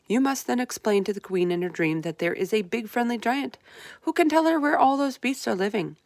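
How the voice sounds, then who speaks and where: A woman's voice: on the high side, expressive, a little fast, creaky, a little mellow, no echo. Clever storybook orphan girl, played by a woman, assured and commanding, laying out orders firmly, dry sound. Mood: scheming, instructing. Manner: firm, instructing, assured. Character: confident, bossy, clever little orphan girl. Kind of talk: storybook read-aloud character voice.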